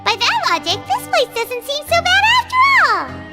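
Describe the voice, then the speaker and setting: A woman voicing a tiny, excitable female fairy companion in a video game, chirpy and quick to exclaim. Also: extremely high pitch, exaggerated voice. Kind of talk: game voice acting. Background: game music.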